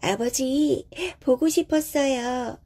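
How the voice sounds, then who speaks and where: A woman's voice: high-pitched, takes it slowly, warm tone, voice pushed up, full character voice. A woman voicing a sweet young daughter in a storybook tale, with a girlish, earnest, polite delivery. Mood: excited. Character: sweet, dutiful young daughter of village father.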